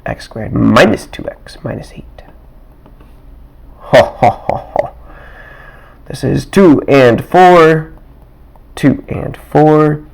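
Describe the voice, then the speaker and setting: A man teaching math in a casual, worked-example way, with a raspy, dry-throated voice that keeps clearing, thinking aloud with small chuckles and asides from his desk. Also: medium pitch, darkish tone. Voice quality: raspy, getting rougher.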